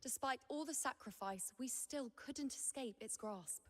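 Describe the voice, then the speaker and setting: Solemn young seer in long reverb. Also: female voice, sits high. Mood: grave, resigned. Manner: measured, grave, formal delivery. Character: solemn young woman seer who defies fate, burdened, proud. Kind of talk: scripted dramatic video game dialogue.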